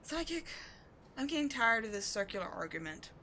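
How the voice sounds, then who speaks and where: A passionate, embittered villainess, acted by a woman in a dramatic audio roleplay. Her voice sits on the high side and she speaks with theatrical, emphatic intensity, devotion showing under the scorn. There is noticeable noise behind the voice. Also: very animated, fairly quick, sharp tone, heavily acted. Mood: pained, confessing. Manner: theatrical, intense, emphatic, with sighs and dropped whispers. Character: bitter, passionate female villain secretly devoted to a wounded sidekick. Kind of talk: dramatic audio roleplay monologue addressed to the listener.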